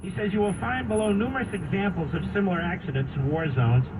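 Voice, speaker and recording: A man's voice: high-pitched, quick pace. A man hosting a political talk radio show, speaking emphatically and reading quotes aloud with pointed asides, heard from a car radio. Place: car radio playing in a moving vehicle. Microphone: dashcam microphone picking up the car speakers. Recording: tinny car radio, distant, loud road noise. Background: loud vehicle road noise.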